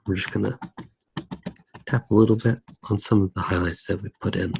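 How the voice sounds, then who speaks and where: A soft-spoken man narrating a drawing in ASMR style, hesitant and pausing mid-sentence. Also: lowish voice, fairly even intonation, gravelly, a little hiss or hum, rough recording. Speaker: ASMR art video host.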